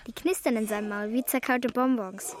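A woman's voice: on the high side, fairly even intonation, normal speed, noisy background, close to an everyday voice. An actress playing an earnest, youthful girl who is a devoted friend, in a German children's radio drama. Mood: friendly, earnest.

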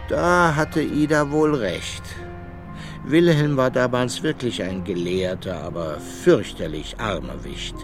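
An actor playing a storyteller, narrating a children's radio play in an expressive, measured way. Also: male voice, mid-range voice, music in the background. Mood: storytelling. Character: male storyteller telling of his scholar brother.

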